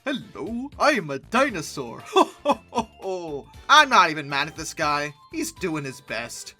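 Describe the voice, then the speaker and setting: A woman's voice: low-pitched, unhurried, soft background music. A young woman hosting a comedic commentary, sarcastic and exasperated. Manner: sarcastic, exasperated, lively outbursts. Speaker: YouTube host.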